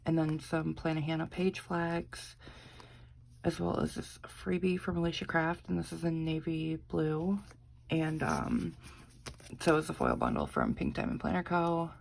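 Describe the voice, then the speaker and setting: A woman's voice: sits low, unhurried, vocal fry, a little hiss or hum. A young woman, sick and congested, chatting casually with lots of fillers while doing a planner vlog. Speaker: planner vlogger. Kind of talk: casual chat.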